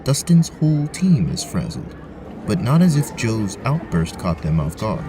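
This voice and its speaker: A man's voice: on the low side, sing-song, a little slow, background noise. A man narrating a scripted fantasy story as the game master of an audio drama.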